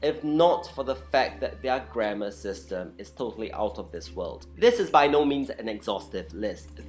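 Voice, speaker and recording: An opinionated vlogger of unclear sex giving an explainer talk in a candid, blunt, informal way, stressing points with dramatic repetition. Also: medium pitch. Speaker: vlogger and language enthusiast.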